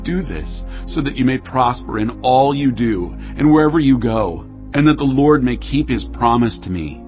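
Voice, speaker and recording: A man's voice: mid-range voice, expressive, moderate pace, lightly acted. A man acting a grave aged king, dull recording. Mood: grave, fatherly. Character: aged King David, solemn, commanding, near death. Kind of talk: dramatised audio Bible acting. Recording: dull lo-fi audio with music behind.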